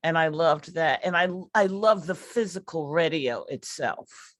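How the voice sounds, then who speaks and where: A woman speaking reflectively on a panel, unscripted, pausing between short phrases as she thinks. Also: deep voice, lively intonation, no room sound, studio quality. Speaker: workshop artist on a panel.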